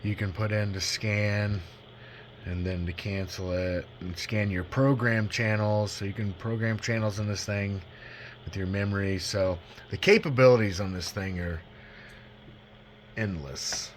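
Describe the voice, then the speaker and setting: Male hobbyist demonstrator, lower register, folksy and self-deprecating, off-the-cuff delivery, faint noise behind. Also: fairly even intonation, measured pace. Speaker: radio hobbyist demonstrating a used CB radio. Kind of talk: casual unscripted product walkthrough.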